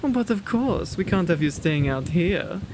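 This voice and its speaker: A man's voice: high voice, animated, unhurried, background noise. A man playing a kind, genteel vampire host in an ASMR roleplay, with doting, courteous, old-fashioned phrasing. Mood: concerned, welcoming.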